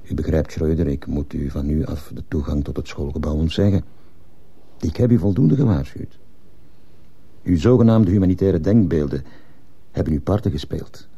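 A man playing a stern, formal school headmaster in a radio drama, speaking in a measured, officious way. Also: extremely low pitch, mellow, breathy edge, full character voice. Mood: coldly reproving. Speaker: actor in a radio play. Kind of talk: Dutch-language radio drama acting.

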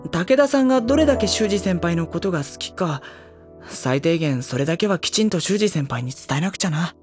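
A modest teenage boy in an anime-style audio drama. His delivery is dry and self-conscious, very lively in intonation and easily flustered, over faint music. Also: high-pitched, brisk. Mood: modest, considerate. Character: modest, exasperated teenage schoolboy in a literature club. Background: faint background music.

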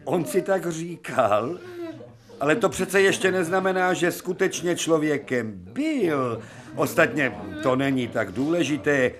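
An actor playing an older, imperious professor in a radio drama, pompous and elaborately polite with dry irony. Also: male voice, on the high side, animated, close to an everyday voice. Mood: indignant, amused. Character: courtly, ironic, imperious male professor of surgery.

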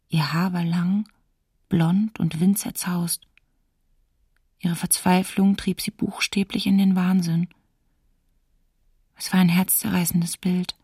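An actress playing a diarist under occupation, reading entries in a hushed, subdued way for a documentary radio drama, slightly off the mic. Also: female voice, on the low side, gravelly, slightly rough, no room sound, clean studio sound, distant mic, exaggerated voice. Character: woman diarist soberly witnessing occupation terror.

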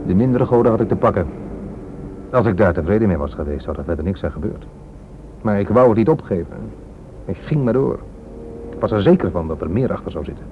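Actor as weary corrupt police inspector, muffled noisy recording. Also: male voice, on the low side, lively intonation, brisk, pauses often, natural voice. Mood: frank, defensive. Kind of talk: radio play acting. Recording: muffled lo-fi radio recording, strong noise.

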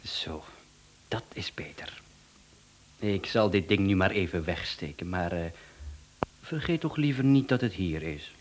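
A man's voice: low voice, animated, unhurried, pauses often, slight background noise. A man with a Flemish accent playing a smug, chatty professional hitman in a radio drama, speaking with mocking politeness and self-assurance. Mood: mocking, self-assured.

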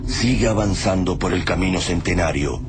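A man's voice: deep voice, noisy background, natural voice. A man acting as the grave narrator of a radio drama about war, speaking in a solemn, literary way. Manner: slow, solemn, literary delivery. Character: grave male narrator of a post-apocalyptic war tale. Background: music.

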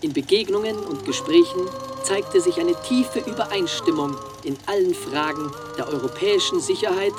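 Man acting a state newsreader, formal official tone, high pitch, seventies bulletin, music behind. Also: normal speed, few pauses, natural voice. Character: formal East German state newsreader man reporting proudly. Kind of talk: formal 1970s news announcement.

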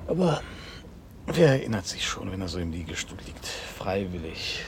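A man's voice: low-pitched, very animated, normal speed, background noise. An actor in a German radio drama playing a nostalgic, ironic man who needles his old friend with musing questions. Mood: ironic needling.

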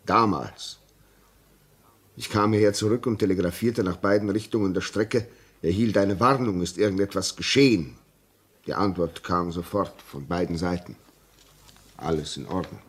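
An actor playing a grave, shaken railway signalman in a German radio play, telling his story haltingly and insistently. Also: low voice, breathy edge. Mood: resigned, earnest. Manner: slow, halting, repeats words for emphasis.

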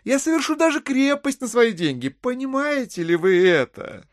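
An actor playing a scheming, smooth-talking gentleman swindler in a classic audio drama, insistent, wheedling and easily exasperated. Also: a little high, very animated, measured pace, dry recording, studio quality. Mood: persuasive, insistent. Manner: insistent, wheedling, theatrically emphatic.

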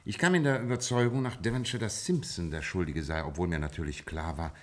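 Shrewd, low-voiced detective. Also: male voice. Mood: explaining, assured. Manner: measured, methodical, articulate. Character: shrewd, self-assured male detective explaining his deductions. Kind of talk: German radio play acting.

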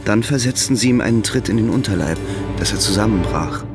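An actor playing the sober third-person narrator of a radio drama, reading scripted storytelling. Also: male voice, lowish voice, brisk, over music, natural voice. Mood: sober, narrating.

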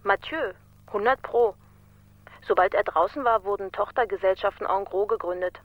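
A woman's voice: medium pitch, normal speed, dry recording, natural voice. Female researcher on a muffled, dry line, informal and wry, radio crime play played by an actress. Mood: wry, informative. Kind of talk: German radio crime drama acting. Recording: dull, dry telephone-like sound.

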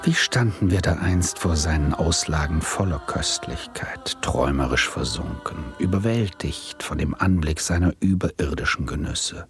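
An actor playing an adult nephew who narrates a radio play in a wistful, ironic, literary way. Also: male voice, a bass voice, moderate pace, few pauses, music in the background. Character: wry adult nephew, stalled doctoral student, narrating.

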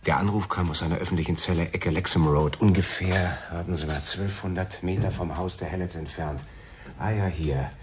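An actor in a German radio crime drama playing a laconic, dutiful police sergeant with an understated, dry, mildly sardonic manner. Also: male voice, sits low, steady tone, brisk, background noise, lightly acted. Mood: businesslike.

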